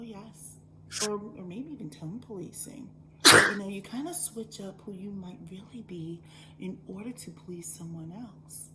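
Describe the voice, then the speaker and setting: A woman voicing a preachy female lifestyle influencer who talks in a slow, hushed, breathy whisper. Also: mid-range voice, lightly acted, rough recording. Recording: background noise.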